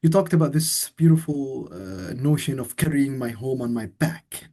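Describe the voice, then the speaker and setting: Mellow, husky-voiced male host. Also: mid-range voice, animated, moderate pace. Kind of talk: reflective interview questions.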